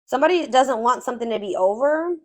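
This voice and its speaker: A woman's voice: fairly high pitch, lively intonation, fast, a little mellow. A woman giving a casual, improvised tarot reading, thinking aloud and rephrasing herself as she goes. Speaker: tarot reader.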